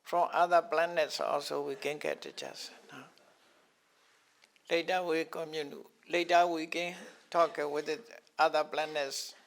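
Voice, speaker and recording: A slightly high, gravelly older man who speaks English as a second language, teaching a class. He talks very slowly, stops and repeats each term, and chuckles often. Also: expressive, a bit bright. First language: not English. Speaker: Buddhist teacher. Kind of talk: explaining scriptural terms to a class.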